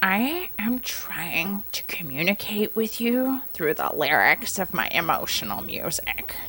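Woman doing a dramatic emo kid, bright voice, goes from whispery to yelling. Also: fairly low pitch, sing-song, a little slow, exaggerated voice. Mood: angsty dramatic. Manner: rambling, theatrical, swings to whispers and outbursts. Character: angsty emo youth, dramatic and earnest.

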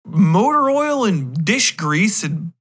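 A man's voice: high-pitched, big pitch swings, takes it slowly, a bit bright, slightly rough. A man hosting a podcast, delivering a casual, sweary rant in a sarcastic, exasperated and emphatic manner. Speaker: podcast host. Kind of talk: casual profane movie rant.

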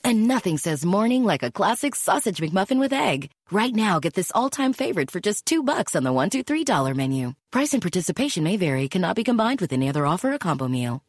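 A woman's voice: mid-range voice, big pitch swings, quick pace, hardly any pauses, no room sound, studio quality. A woman reading a scripted commercial voice-over as an announcer.

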